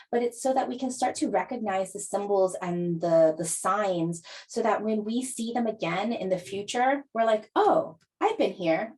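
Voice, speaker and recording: A middle-aged woman from Hawaii leads a live astrology masterclass, speaking in an upbeat and earnest manner. She talks in a mid-pitched voice and fills her pauses with easy ums. Her delivery is warm-hearted teaching rather than scripted reading. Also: poor audio. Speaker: astrologer and podcast host.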